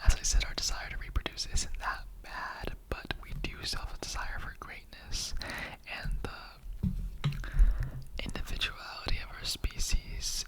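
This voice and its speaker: A performer acts out an immortal skeleton interrogator in an ASMR roleplay. The voice stays in a whisper throughout. The delivery is earnest and conversational, rambling through halting asides and repetitions. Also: close to an everyday voice, low-quality recording. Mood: earnest, curious. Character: chatty immortal skeleton interrogator, earnestly recruiting bone donors.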